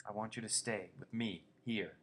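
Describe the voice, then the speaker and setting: A weary, gruff farmhand speaks in a flat, worn-out way, as a man reads him aloud. He sounds tired and terse, a figure from a spoken story. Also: on the low side, normal speed. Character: weary tough itinerant worker, man, gruff.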